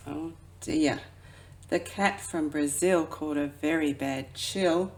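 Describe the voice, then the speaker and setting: A woman who works as a children's educator reads a picture book aloud expressively, drawing out phrases and dropping into hushed asides. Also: low-pitched, slow, low-quality recording. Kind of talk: children's storybook read-aloud.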